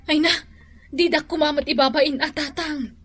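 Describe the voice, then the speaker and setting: An actress with a Filipino Ilocano accent playing a dutiful but exasperated grown daughter in a radio drama, with a plaintive, sighing delivery. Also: female voice, sits high, steady tone, moderate pace, a bit bright, faint music behind.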